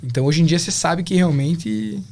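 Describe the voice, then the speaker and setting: Chatty Brazilian man, slightly high voice. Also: brisk, vocal fry, darkish tone. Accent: Brazilian Portuguese. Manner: reflective, chatty, many fillers. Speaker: interview guest. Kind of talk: casual conversational interview.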